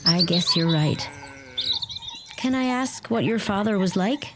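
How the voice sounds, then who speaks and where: Radio play: young American woman female actor, mid-pitched, gentle and inquisitive, faint birdsong and noise behind. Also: lively intonation, a little slow, lightly acted. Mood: curious. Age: young adult. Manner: polite, sympathetic, inquisitive. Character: gentle, curious young American woman visiting rural Ireland.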